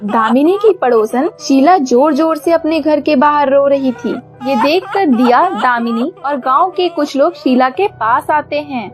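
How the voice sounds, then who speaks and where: A woman's voice: sits high, expressive, unhurried, hardly any pauses, over music. A woman narrating a scripted cartoon story for children.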